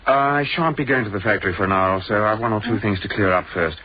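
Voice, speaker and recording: An actor playing a curt, controlling husband and factory boss in a radio drama, middle-aged, with a British accent and a clipped, dry delivery. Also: male voice, lowish voice, fast, breathy edge. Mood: brisk. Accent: British English. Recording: dull, band-limited radio drama sound.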